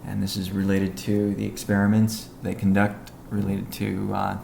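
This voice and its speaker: A man with a low, creaky voice delivers a technical business update on a conference call. His delivery is measured and halting, with little melody. The sound is roomy and echoey. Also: monotone, darkish tone. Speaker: company executive giving update. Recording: echoey room, faint background noise.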